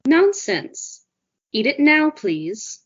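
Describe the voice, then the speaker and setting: A woman's voice: high-pitched, very animated, extremely slow, muffled recording, exaggerated voice. An actress playing a kindly but controlling older female teacher, sweet and coaxing yet insistent, in an audio drama.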